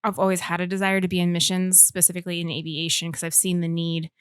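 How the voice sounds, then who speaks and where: A woman's voice: lowish voice, flat delivery, fast talker, smooth, no echo, studio quality. A young woman who grew up in Indonesia, sharing her personal faith story earnestly as an interview guest. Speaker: guest, aspiring missionary.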